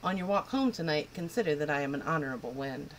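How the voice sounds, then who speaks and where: A woman's voice: deep voice, animated, fast talker, voice pushed down, low-quality recording. Woman doing a brusque, boastful old wind spirit for a storybook reading; faint hiss behind. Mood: gruff. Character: gruff old male north wind spirit.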